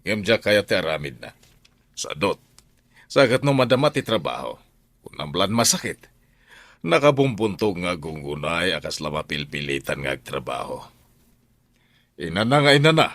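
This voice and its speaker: Deep-voiced, grumbling Ilocano uncle. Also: male voice, very animated, normal speed, pauses often, fairly bright tone, no echo, heavily acted. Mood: scornful, complaining. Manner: grumbling, blunt. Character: gruff uncle, complaining employer with a farm garden. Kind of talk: Filipino radio drama acting.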